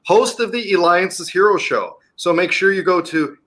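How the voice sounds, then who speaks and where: A man hosting a talk radio interview show, chatty and energetic, plugging the show's website between questions. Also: high voice, normal speed.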